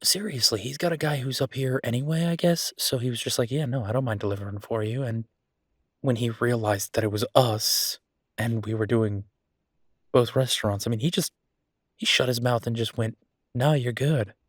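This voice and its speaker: A man's voice: mid-range voice, normal speed, slightly rough, no echo, studio quality, lightly acted. A man playing a frustrated, affectionate restaurateur boyfriend in an intimate roleplay audio drama, talking conversationally and hesitantly to his partner. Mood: venting, appreciative. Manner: conversational, hesitant, exasperated but fond.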